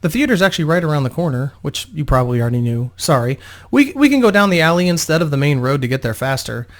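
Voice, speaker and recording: A man's voice: a little high, big pitch swings, quick pace. A man voicing a young female opera singer, polite, grateful and slightly anxious, in a storybook reading. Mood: apologetic.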